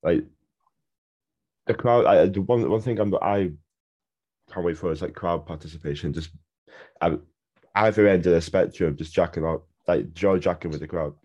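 A British man hosts a casual podcast, chatty and enthusiastic with his guest. His voice is very low, and the recording sounds dull and lo-fi. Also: moderate pace, pauses often, darkish tone, breathy edge. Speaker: podcast host.

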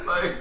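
A man's voice: very high-pitched, reverberant, background noise. A man, a guest on a podcast, chatting casually and joking with crude banter. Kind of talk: casual chat.

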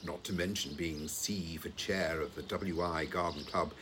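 A man acting in an audio play as a long-suffering, placid retired British husband, older, with a dry, reasoning, gently needling manner. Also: sits low, steady tone, unhurried, slight background noise. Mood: wry.

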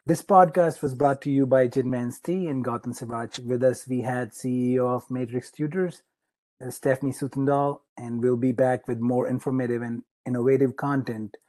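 A man hosting a podcast, giving an even, formal sign-off to close the show. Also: mid-range voice, moderate pace. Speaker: podcast host. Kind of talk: scripted podcast outro.

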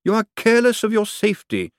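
A man's voice: high-pitched, huge pitch swings, no echo, pitched above the performer's own voice, exaggerated voice. A man acting an urbane, coolly menacing lord. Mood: coolly commanding. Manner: measured, formal, drily ironic. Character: urbane, calmly menacing ruling lord, dry and precise.

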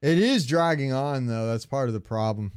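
A man's voice: medium pitch, lively intonation, normal speed, clean tone, dry recording, studio quality. A man co-hosting a podcast, chatting casually in an informal, opinionated way. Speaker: podcast co-host. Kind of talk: casual chat.

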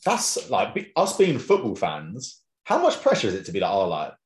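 Host: man, deep voice swinging up and down, rambling, echoey room. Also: wildly swooping pitch, normal speed, a little hiss or hum. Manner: rambling, restarts questions, laughs easily. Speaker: podcast host. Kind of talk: casual interview chat. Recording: roomy echo.

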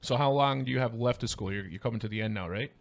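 A man's voice: medium pitch, fast, gravelly, no echo. A man hosting a podcast in a casual, conversational way. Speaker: podcast host. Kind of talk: casual interview chat.

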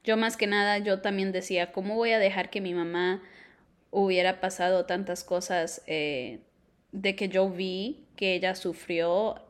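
A woman's voice: mid-range voice, fairly even intonation, a little slow. A young woman co-hosting a podcast, chatting casually and mixing in English words. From Colombia. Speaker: podcast co-host. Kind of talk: casual chat.

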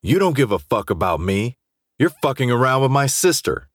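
A man's voice: medium pitch, big pitch swings, normal speed, dry recording, clean studio sound, pitched above the performer's own voice. Man acting out a furious drunk young athlete, raised voice. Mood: bitter. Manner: profane, aggressive, confrontational. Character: drunk, self-loathing, jealous young male student athlete.

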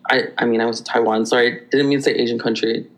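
A man from Hawaii, a podcast guest telling stories in an animated, rambling way with many restarts. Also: medium pitch, rapid-fire, rough recording. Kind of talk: conversational storytelling.

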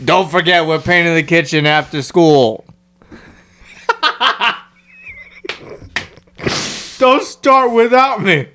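A man parodying a kindly older uncle from a film, in a higher, drawn-out, mocking delivery. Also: expressive, sharp tone, full character voice. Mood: teasing.

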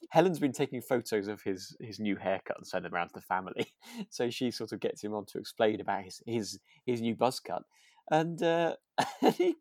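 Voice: mid-range voice, a little mellow, no room sound, clean studio sound. A podcast co-host chatting casually about a radio drama in an enthusiastic, chuckly, slightly hesitant way.

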